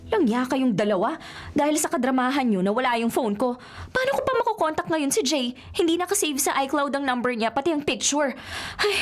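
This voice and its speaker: A woman playing a feisty, exasperated young single bridesmaid in a radio drama, with sassy, dramatic grumbling. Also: sits high. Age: young adult. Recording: music behind the voice.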